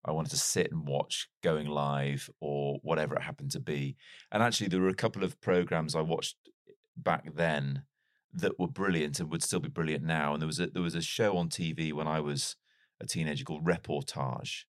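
Very deep-voiced reflective British man. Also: fairly even intonation, normal speed, dry recording, clean studio sound. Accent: mild British English, not strongly Welsh. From North Wales. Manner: reflective, wry, self-deprecating. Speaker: podcast guest. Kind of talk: casual interview storytelling.

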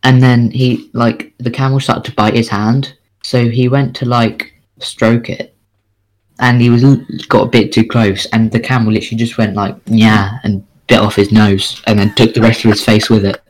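A man's voice: mid-range voice, flat delivery, normal speed, poor audio. A man co-hosting a casual podcast, reading odd news as quiz questions in a joking, bingo-host manner.

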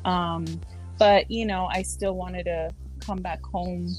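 A young adult woman from San Diego, a social worker talking casually as a podcast guest, with soft laughs and many fillers. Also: fairly low pitch, fairly even intonation, slow, soft background music, low-quality recording. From San Diego, California. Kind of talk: casual interview chat.

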